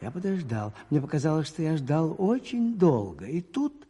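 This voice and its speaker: Husky, high-voiced priest detective. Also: male voice, big pitch swings, dark tone. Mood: suspenseful. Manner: measured, suspenseful storytelling. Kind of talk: radio play acting, first-person narration.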